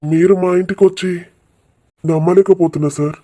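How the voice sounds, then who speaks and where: Man playing humble middle-class cartoon dad, middle-aged, flat melody, very dark soft timbre, anxious. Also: high voice, moderate pace. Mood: anxious, respectful. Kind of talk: cartoon village drama dialogue.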